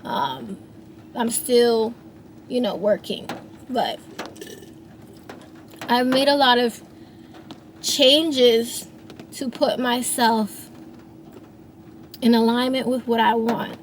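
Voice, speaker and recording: A woman's voice: fairly high pitch, animated, drawn-out words, pauses often, slight background noise. A woman in her thirties, a singer and songwriter, talking through her feelings in a rambling, reflective monologue with many restarts. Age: 30s. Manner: rambling, frequent restarts and fillers.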